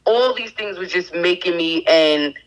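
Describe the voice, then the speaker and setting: A woman in her thirties, a podcast guest sharing a candid personal testimony in a halting way, with many fillers and restarts. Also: fairly low pitch, rough recording. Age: 30s.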